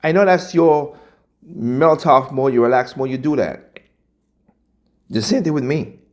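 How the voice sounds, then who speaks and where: A man. He talks in casual commentary, and his voice climbs into loud, animated outbursts when he makes a point. His pitch sits in the middle range. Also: measured pace. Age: 40s. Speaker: channel host reacting to clips.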